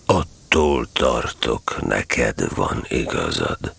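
A melancholy old grey donkey from a children's storybook. The voice sits extremely low, crackling with fry and husky at the edges. Each phrase drags out slowly, mournful and resigned. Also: male voice, very animated, fairly bright tone, exaggerated voice. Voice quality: gravelly, husky. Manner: slow, mournful, brooding delivery.

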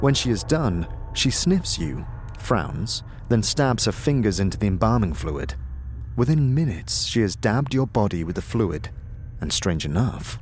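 A man's voice: fairly low pitch, big pitch swings, measured pace, slight background noise. A man narrating a scripted fantasy audio drama in the manner of a storyteller. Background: music.

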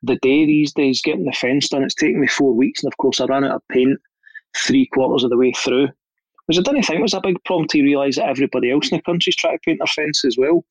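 A man's voice: mid-range voice, fast, husky, no echo, rough recording. A middle-aged Scottish man, a podcast guest, telling stories in a dry, wry, casual way.

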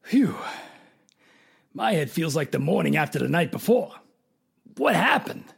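A man playing a dazed, plainspoken tough guy in a radio drama, with a creaky, raspy voice and halting, ungrammatical speech. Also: on the high side, big pitch swings, exaggerated voice. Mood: groggy.

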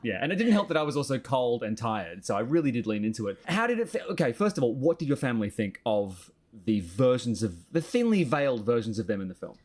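A man with an Australian accent hosting a casual podcast, telling anecdotes in an animated, wry way. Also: mid-range voice, fast talker, few pauses. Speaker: podcast host. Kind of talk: casual chat, comic anecdotes.

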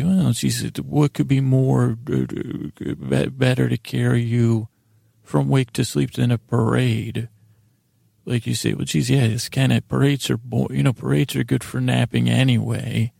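An American man with a gravelly, raspy voice hosts a bedtime podcast in a rambling, improvised monologue, halting and stammering as he meanders. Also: mid-range voice, little pitch movement, dark tone, no echo, studio quality. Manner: meandering and halting, stammers and trails off mid-sentence. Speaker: host of a bedtime sleep podcast.

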